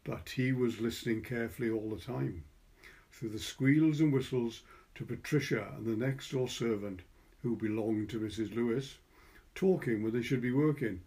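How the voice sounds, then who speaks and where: A Welsh man with a soft, dark-toned voice of middling pitch. He speaks as a curator and reader, moving through explanation and literary prose in a relaxed way. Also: moderate pace. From Wales. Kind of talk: informal introduction and literary story reading.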